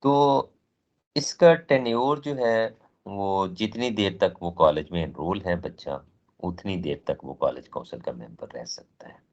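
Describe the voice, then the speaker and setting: A man giving a training lecture with a sore throat and a cough, explaining in a measured way with many pauses and English phrases mixed in. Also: low-pitched, a little mellow, dull audio. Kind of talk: slow recorded lecture.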